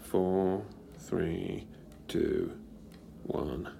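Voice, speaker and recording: An actor playing a brisk, confident, playful male time traveller in an audio drama. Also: deep voice, fairly even intonation, creaky, dark tone, slight background noise, full character voice. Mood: hushed.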